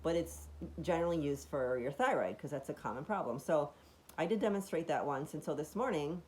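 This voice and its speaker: Low, raspy-voiced woman vlogging casually. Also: lively intonation, fairly quick, a little mellow. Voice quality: raspy, deeper voice. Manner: chatty and meandering, many fillers and restarts, enthusiastic. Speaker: vlogger demonstrating a device. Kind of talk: casual home demonstration vlog.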